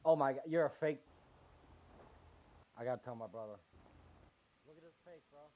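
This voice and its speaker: A man's voice: mid-range voice, moderate pace, dark tone. A man trash-talking in slang over in-game voice chat, mocking another player. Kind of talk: casual trash talk in a game. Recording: muffled game voice chat, faint noise.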